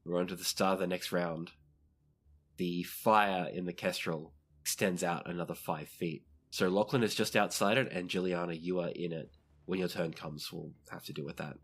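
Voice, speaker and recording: A man's voice: low voice, steady tone, normal speed, slightly rough. A man running a tabletop roleplaying game as game master, narrating the action off the cuff and putting questions to the players.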